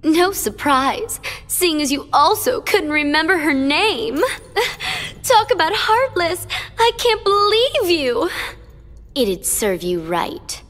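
A woman's voice: very high-pitched, sing-song, slow pace, in a large hall, full character voice. An actress voicing a sadistic young female villain in a game, taunting with a mocking sing-song manner. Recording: game cutscene with loud music.

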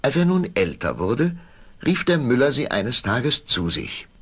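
A man narrating a scripted fairy tale for an audio play in a gravelly voice. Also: medium pitch, very animated, unhurried.

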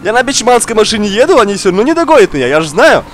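Male gaming streamer, young adult, high swooping voice, slightly unwell, excitable and chatty, repeats himself. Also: rapid-fire. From outside Russia. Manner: animated, exclaiming, repeats words, talks to viewer. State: slightly ill, coughing now and then. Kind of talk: excitable casual gameplay commentary. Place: indoor room, seated at racing wheel.

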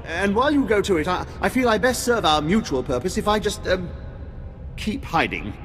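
An actor playing a pompous, cowardly, whining Imperial official in a video game, haughty and fussy. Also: male voice, sits high, sing-song, moderate pace, fairly bright tone. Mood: nervous, fussy. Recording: long hall reverb, music behind.

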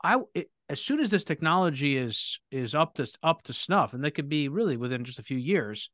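A man's voice: fairly high pitch, a little fast, no echo, clean studio sound. A man hosting a live podcast Q&A, talking energetically and often restarting his sentences. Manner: energetic, self-correcting with restarts. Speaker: podcast host. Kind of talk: live Q&A conversation.

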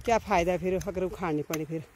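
A woman's voice: fairly low pitch, steady tone, fast, a little mellow, slight background noise. A middle-aged woman, the vlogger's mother, explaining how to plant, repeating words for stress, outdoors in a field. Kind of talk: casual chat while farming. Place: hillside farm field outdoors.

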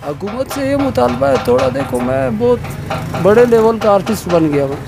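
A man's voice: very high-pitched, lively intonation, moderate pace, creaky. A man in his fifties from Pakistan, an artist being interviewed in his workshop, talking earnestly about his hardships with many filler words. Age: 50s. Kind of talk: casual interview. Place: cluttered workshop, indoors. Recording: noisy background.

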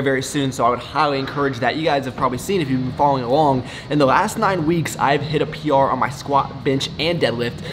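A man in his twenties, a fitness vlogger, talking casually and upbeat to a handheld camera at arm's length, with joking asides to viewers. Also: medium pitch. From Texas. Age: 20s. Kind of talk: casual vlog talk to camera. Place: gym, outdoors walking, home kitchen. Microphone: camera mic, handheld at arm's length.

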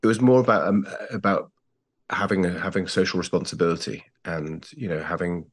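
A man in his forties from England with an English accent, recalling his family in a thoughtful, measured way on a video call. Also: deep voice, steady tone, husky. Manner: thoughtful, measured, with frequent restarts. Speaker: guest. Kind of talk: reflective interview talk. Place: plain-walled home room. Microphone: earbuds on a video call.

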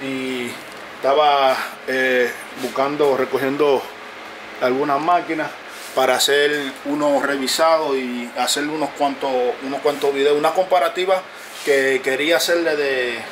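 A man, a barber, talking to his viewers in a casual, earnest way, often repeating himself and pausing with fillers. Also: medium pitch, steady tone, noisy background. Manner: earnest, repetitive, pauses with fillers. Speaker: barber and video creator. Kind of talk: casual talk to camera. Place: closed barbershop, indoors.

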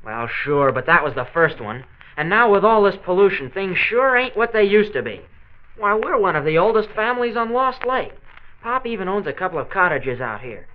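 Casting: American high school senior, earnest grumbler, animated delivery, 1940s radio drama, dull old disc sound. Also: male voice, moderate pace, a little hiss or hum. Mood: indignant.

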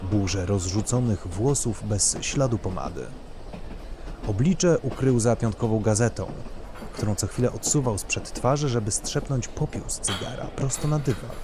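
A man reading scripted literary narration for an audio drama. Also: on the low side. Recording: clear background noise of urban ambience.

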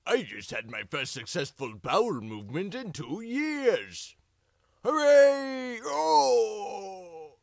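An excitable anime grandpa. The old voice is high and creaky, swinging up and down at an unhurried pace. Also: male voice, heavily acted. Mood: gleeful.

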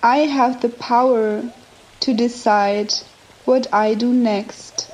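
A woman narrating a scripted guided meditation with affirmations, in measured short phrases separated by long pauses. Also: on the high side, fairly even intonation, a little mellow, low-quality recording. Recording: nature ambience behind the voice. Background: nature and ambient sounds.

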